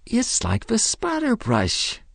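A man reading aloud who voices an earnest small boy with soft, solemn questions. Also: very high voice, wildly swooping pitch, slow pace, gravelly, no room sound, studio quality, voice pushed up, exaggerated voice.

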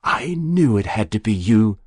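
A man's voice: fairly low pitch, animated, a little slow, breathy edge, dry recording, clean studio sound, pitched above the performer's own voice. A man voicing an elderly confessing woman. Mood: pained. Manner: quiet, pained, confessional.

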